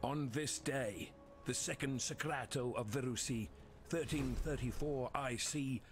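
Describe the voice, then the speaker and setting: A solemn male templar, voiced by an actor delivers a formal proclamation for a fantasy game. His mid-pitched voice is stately and deliberate, over faint music. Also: close to an everyday voice. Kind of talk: formal scripted game narration.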